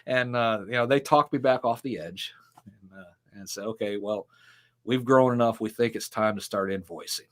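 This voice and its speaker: A man's voice: mid-range voice, moderate pace, fairly bright tone. Rambling middle-aged man telling stories. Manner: rambling storyteller with frequent fillers and laughs. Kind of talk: casual interview talk.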